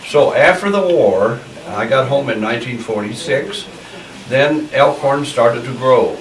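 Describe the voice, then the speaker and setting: A man's voice: mid-range voice, expressive, measured pace. Plainspoken old man, distant mic. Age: 80s. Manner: rambling, many uhs, plainspoken recollection. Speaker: interviewee. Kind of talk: oral history interview. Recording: distant mic in a roomy space with background noise.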